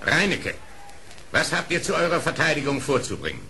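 A man's voice: fairly high pitch, big pitch swings, fairly quick, slight background noise, full character voice. An actor playing a stern, commanding king of the animals, questioning with authority in a radio play. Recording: old record with loud crowd.

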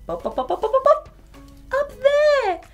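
A woman's voice: extremely high pitch, huge pitch swings, normal speed, dull audio, faint music behind. A woman in her thirties reads a picture book aloud to young children in a bubbly, exaggerated, giggly way as a storytime host. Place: small furnished indoor room.

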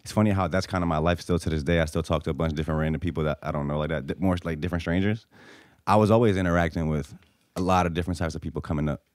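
A young man with an American accent hosts a casual podcast chat. He talks fast in a fairly low voice, very informally, tagging his thoughts with slang. The close mic gives a dry sound with little room in it. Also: steady tone, dry recording. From born in Bosnia, grew up in the US from age four. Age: young adult. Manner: informal, frequent slangy tags. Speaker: podcast host. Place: small podcast room with couches.

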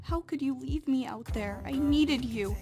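A woman as a high-voiced, wounded young woman. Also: a little slow, warm tone, soft background music. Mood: hurt, fearful. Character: grieving, betrayed young woman, wounded and distrustful. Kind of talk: amateur Minecraft roleplay acting.

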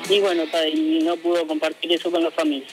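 A man calling in to a radio show, telling his story slowly with many fillers. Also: a little high, flat delivery, music in the background, rough recording. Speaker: caller. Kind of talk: radio call-in.

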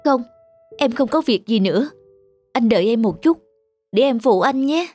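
A woman voicing a troubled young wife and mother in a cartoon, soft-spoken and earnest. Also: a little high, lively intonation, moderate pace, faint music behind, close to an everyday voice. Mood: hesitant.

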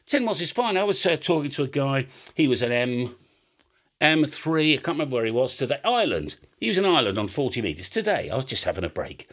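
British male video host, highly varied intonation, casual explainer with an emphatic, blunt, rambling delivery. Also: big pitch swings, fast talker, a bit bright. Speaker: amateur radio video host.

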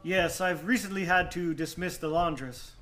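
High-pitched male voice, acted, radio drama, genteel guilty man, overly courteous, halting, nervous. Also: over music, close to an everyday voice. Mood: nervous politeness. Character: nervous, over-polite male murderer hiding guilt from police.

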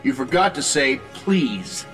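Cocky genius inventor hero, sarcastic, lively swooping pitch, game cutscene, music behind. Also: male voice, takes it slowly, in a large hall. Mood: smug, taunting. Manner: flippant, sarcastic, teasing. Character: cocky wisecracking male genius inventor superhero. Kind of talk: video game cutscene acting.

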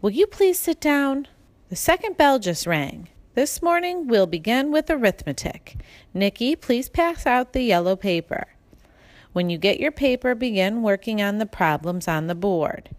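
A woman's voice: fairly high pitch, sing-song, a little slow, no room sound, voice pushed up. A woman playing a firm but fair female schoolteacher in a storybook, giving instructions. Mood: brisk.

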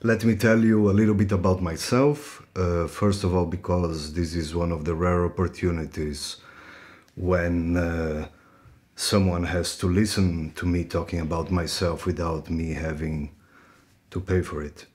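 A middle-aged man from Brazil speaks to his class as their lecturer. His voice sits low, and he talks very slowly and deliberately, often halting between phrases. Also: fairly even intonation, a little mellow. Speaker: university lecturer. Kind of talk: personal introduction to a class. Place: furnished room indoors, sitting.

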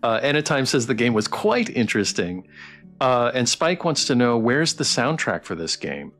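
A man's voice: medium pitch, big pitch swings, moderate pace, fairly bright tone, faint music behind. A man hosting a casual live stream interview, talking in an enthusiastic, effusive way.

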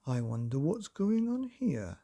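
Man voicing a curious nosy storybook fellow, husky. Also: a little high, very animated, measured pace, dark tone, exaggerated voice. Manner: wondering, inquisitive.

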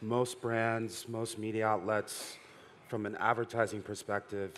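Measured low-voiced businessman. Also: little pitch movement, noisy background. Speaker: panelist, VR adult company. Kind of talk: conference panel talk. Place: stage at a conference hall.

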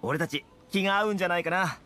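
A man's voice: high voice, fast talker, pushed and bright, faint music behind. A man voicing a friendly, easygoing young guide in an anime game, explaining things in a casual, affable way. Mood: amused, friendly. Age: young adult.

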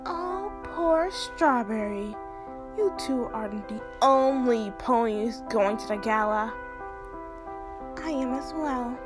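A snooty young unicorn mean girl played by a woman, high and swooping, sneers and mocks in a cartoon drama. Loud music plays underneath. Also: expressive, slow pace. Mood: smug, taunting. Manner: drawling, sneering, mocking. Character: haughty, spiteful young female unicorn bully. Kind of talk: animated fan audio drama.

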